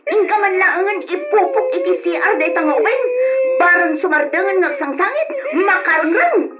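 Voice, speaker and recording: An exasperated mother in an old radio drama. Her voice is high and her manner harsh and scolding. The sound is dull and lo-fi. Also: very animated, normal speed, over loud music. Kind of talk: old-style Ilocano radio drama acting.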